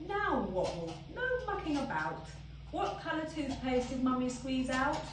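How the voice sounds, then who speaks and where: Woman playing mother bear, storybook, sing-song, coaxing, playfully firm, slow, roomy noisy recording. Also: high voice, sing-song, pitched above the performer's own voice. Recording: echoey room, distant mic, background noise.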